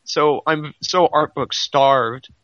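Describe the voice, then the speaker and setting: Rambling man with a bright, forceful voice. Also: on the high side, takes it slowly, no echo, lo-fi sound. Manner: rambling, repeats himself, heavy use of "like". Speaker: podcast panelist. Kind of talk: casual fan discussion.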